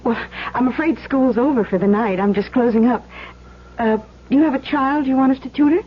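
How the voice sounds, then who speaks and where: An actress playing a gentle, anxious young schoolteacher in a 1970s radio drama, halting and emotional. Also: female voice, high voice. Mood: polite, hesitant. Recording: muffled old radio broadcast.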